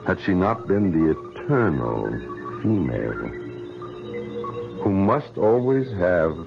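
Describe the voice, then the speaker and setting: A low-voiced, weary scientist whose delivery swings widely with suspicion and unease. He has the theatrical style of vintage radio drama, carried on a dull old recording with music around him. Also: very animated, slow, gravelly, heavily acted. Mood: brooding. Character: troubled, paranoid male scientist, weary and suspicious. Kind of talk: 1970s radio drama acting and first-person narration.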